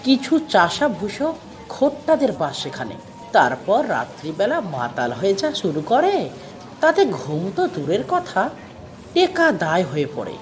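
A man's voice: sits high, wildly swooping pitch, measured pace, full character voice. A man acts out a bookish, brooding factory manager in an audio story. His voice swings up and down theatrically, over faint noise and ambience. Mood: chummy, complaining. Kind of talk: audio story character acting.

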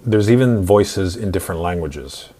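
A man in his forties narrating a screen-recorded software tutorial in an instructional, step-by-step way. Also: low-pitched, lively intonation, normal speed, husky. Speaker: tutorial host.

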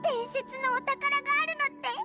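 An actress voicing a tiny childlike fairy companion in an anime-style game, cute, brash and animated. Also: female voice, very high-pitched, fast talker, soft background music, heavily acted. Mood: curious, excitable.

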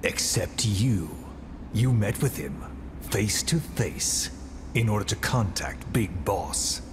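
An actor playing a cold, menacing interrogator in a game cutscene, speaking in measured short phrases. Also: male voice, fairly low pitch, expressive, noisy background. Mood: grim. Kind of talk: video game cutscene acting.

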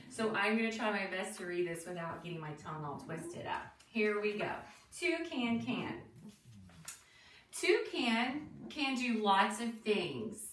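A middle-aged woman giving an expressive read-aloud lesson for young children, deliberate and sing-song, putting questions to them. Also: medium pitch. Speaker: teacher reading a picture book aloud. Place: small indoor room with decorated wall, seated on floor. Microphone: camera a few feet away. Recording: roomy, distant mic, light background noise.

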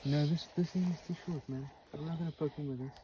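A man's voice: on the high side, fairly even intonation, quick pace, warm tone, rough recording. Dry, joking man on a hike. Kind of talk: casual chat on a trail. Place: outdoors on a bush trail.

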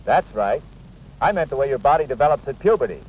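A man's voice: medium pitch, big pitch swings, fast, a little mellow, lightly acted. A man playing a patient, plain-spoken middle-aged school coach in a 1950s educational film, explaining things earnestly. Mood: brisk, friendly. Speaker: coach and narrator. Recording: echoey, noisy 1950s film soundtrack.

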